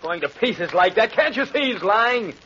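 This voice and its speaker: A cruel, sneering Nazi agent. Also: male voice, sits high, animated, normal speed, a little hiss or hum. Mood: furious. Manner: sneering, commanding, rising to shouts. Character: ruthless male Nazi agent, arrogant and cruel. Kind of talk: 1940s radio drama acting. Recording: dull lo-fi 1940s radio broadcast.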